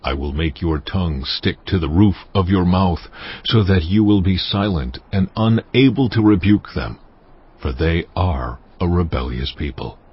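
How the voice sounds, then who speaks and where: An actor playing God as a stern, commanding deity, speaking with solemn weight and deliberation in a dramatized scripture recording. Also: male voice, deep voice, measured pace, breathy edge, dull audio.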